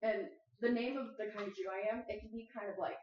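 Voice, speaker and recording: A woman's voice: medium pitch, steady tone, quick pace, a little hiss or hum. Chatty young woman in an echoey room. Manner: chatty, many "like" fillers. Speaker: podcast guest. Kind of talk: casual conversation. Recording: echoey room, distant mic, dull sound.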